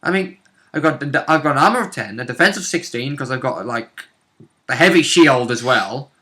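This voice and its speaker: A man in casual, animated, slangy chat as a tabletop role-playing player, acting out moments from the game. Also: mid-range voice, normal speed.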